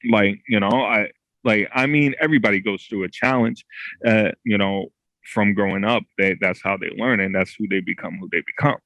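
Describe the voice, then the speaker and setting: Male guest, low pitch, dry close mic, halting delivery with frequent restarts. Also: fairly bright tone, no echo, low-quality recording. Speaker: podcast guest, comic creator. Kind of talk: casual interview chat.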